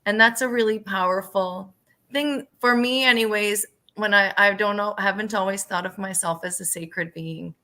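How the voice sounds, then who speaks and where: A woman from Canada giving informal spiritual teaching to a group in an earnest, reflective and somewhat halting way. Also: medium pitch, steady tone, bright, forceful tone. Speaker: singer leading a drumming circle.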